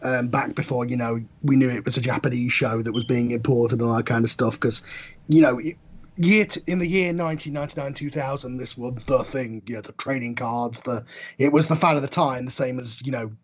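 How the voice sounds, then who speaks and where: A man's voice: mid-range voice, brisk, slightly rough, dull audio. A man in a casual podcast discussion, rambling and correcting himself as he goes.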